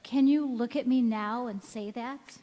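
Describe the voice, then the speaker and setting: A woman plays an anguished, devoted young wife in a radio drama. Her voice sits fairly high and moves very slowly, with earnest melodrama. It rings through a reverberant hall with music behind. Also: warm tone, natural voice. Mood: desperate, resolute. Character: anguished, devoted young-looking wife cursed never to age. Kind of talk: stage radio-play acting. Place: large reverberant church or hall.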